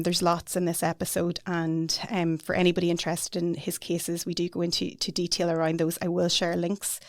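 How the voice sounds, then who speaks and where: A woman's voice: on the low side, gravelly, dry recording, studio quality. A woman hosting an interview podcast, introducing and speaking with her guest. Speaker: podcast host.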